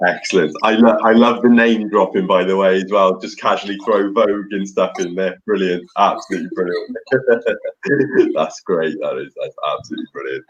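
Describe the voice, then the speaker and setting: A man hosting an interview in a lowish voice, keeping things moving with quick repeated phrases and easy laughs. His sound comes through dull and lo-fi, missing its high end. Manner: encouraging, repeats short phrases, laughs easily. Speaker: interview host. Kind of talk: casual interview chat.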